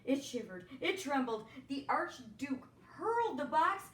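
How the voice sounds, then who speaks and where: A woman's voice: high voice, very animated, measured pace, roomy sound, distant mic, a little hiss or hum. A woman reading a children's picture book aloud expressively, with chatty asides. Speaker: storybook reader, teacher. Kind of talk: read-aloud children's story narration.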